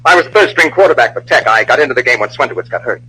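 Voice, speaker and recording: Animated, husky American crime boss. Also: male voice, medium pitch, rapid-fire, rough recording. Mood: hearty, excited. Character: boastful, menacing American ex-quarterback turned crime boss. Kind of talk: old-time radio acting. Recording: vintage radio drama recording.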